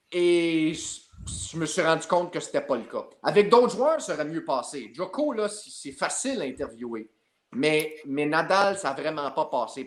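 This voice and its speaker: A man with a Québécois French accent, a sports journalist guest telling a lively story in a podcast chat. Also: high-pitched. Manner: lively, builds up his story with repeated tags.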